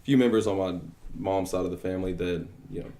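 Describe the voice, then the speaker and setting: Young man from Texas, deep low voice, humble guest chatting loosely. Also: fast talker. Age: 20s. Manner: relaxed and modest, many fillers, laughs easily. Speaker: guest musician. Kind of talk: casual interview chat.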